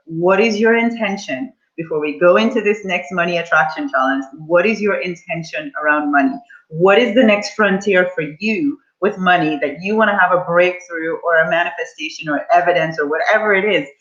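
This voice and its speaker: A woman's voice: fairly low pitch, normal speed, a bit bright, muffled recording. A woman in her forties, a money mindset coach, leading a live online coaching session and speaking to her audience in an upbeat, encouraging way. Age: 40s.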